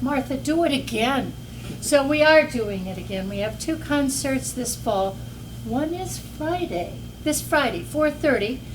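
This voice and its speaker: Soft-voiced woman organizer, a bit high, heard from a distance over room noise. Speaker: nonprofit organizer. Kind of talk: community announcement. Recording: distant mic, noisy room.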